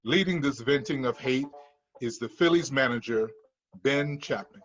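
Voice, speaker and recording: A man's voice: medium pitch, moderate pace, fairly bright tone, dull audio, soft background music. A man reading screenplay stage directions as scripted narration at a table read.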